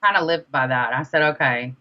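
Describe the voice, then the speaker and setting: A woman's voice: rumbling low, animated, quick pace, bright, forceful tone. A young woman chatting casually as an interview guest, speaking candidly and repeating phrases for emphasis. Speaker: guest, independent music artist. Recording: dull, lo-fi sound.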